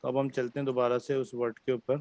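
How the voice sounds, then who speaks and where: A man's voice: medium pitch, level tone, fast, a little mellow. A man with a Pakistani accent teaching a French class online, explaining patiently and switching between Hindi-Urdu and English with many fillers.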